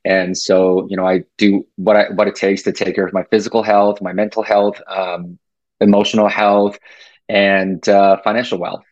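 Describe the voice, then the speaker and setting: A man from Taiwan with a fairly low voice talks as a podcast guest, thinking aloud with fillers and restarts. His audio comes through dull and dry, like a remote call line. He sounds reflective and casual. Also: level tone, normal speed, no echo. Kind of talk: casual interview talk.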